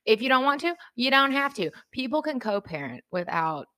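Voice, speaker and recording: A woman's voice: on the high side, very animated, moderate pace, bright, forceful tone. A woman co-hosting a podcast in casual chat, blunt and opinionated, swearing freely. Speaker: podcast co-host. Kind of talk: casual true-crime chat.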